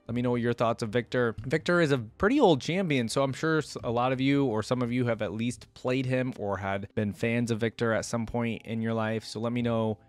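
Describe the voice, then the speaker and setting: A man's voice: medium pitch, fairly quick, few pauses, no echo. A young man giving casual, rambling reaction commentary into a close desk microphone as a video host. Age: young adult. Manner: rambling, conversational. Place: indoor gaming setup.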